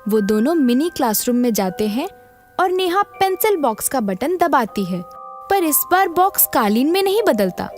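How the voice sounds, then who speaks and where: A woman's voice: sits high, sing-song, normal speed. A woman narrating a scripted children's story as storyteller, with music behind her.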